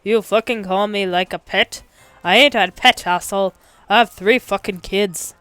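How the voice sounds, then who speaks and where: A woman's voice: fairly low pitch, a little slow, a bit bright, clear, resonant tone, no echo, faint music behind. Casting: indignant talking skunk, male, played by a woman, thick Boston accent, brash, combative, swears freely, audio story. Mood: indignant, loud.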